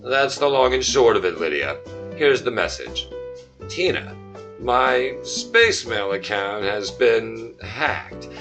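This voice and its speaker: A man's voice: mid-range voice, animated, slow pace, gravelly, over music. A man playing a self-important, dim starship captain, theatrical and blustering, in a comic sci-fi radio serial.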